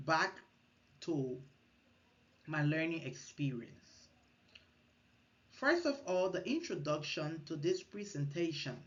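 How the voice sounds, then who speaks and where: A woman's voice: sits low, a bit bright, highs cut off. A woman from Liberia, giving an earnest recorded class presentation, partly read and with small restarts. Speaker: student presenter.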